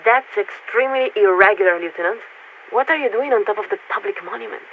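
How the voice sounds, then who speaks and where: Formal warship signaler over crackly radio. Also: female voice, medium pitch. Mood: formal, polite. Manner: formal, measured, courteous, speaks as "we". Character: poised female military signaler aboard a coalition warship, politely bureaucratic. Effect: radio transmission filter. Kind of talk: scripted video game character dialogue. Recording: narrow radio band with static noise.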